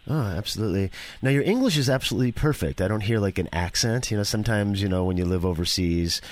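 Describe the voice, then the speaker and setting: A male interview host from New York with a very deep voice. He talks in a chatty, enthusiastic way and wanders into rambling personal asides before he gets to his questions. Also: fast, no echo. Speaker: radio show host. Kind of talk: casual interview chat.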